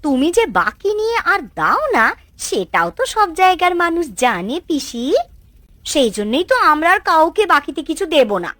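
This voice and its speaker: A woman's voice: high voice, big pitch swings, normal speed, a bit bright, no echo, faint music behind. A woman voicing a practical village wife who keeps shop in a cartoon, chatty, polite but firm. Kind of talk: Bengali cartoon dubbing.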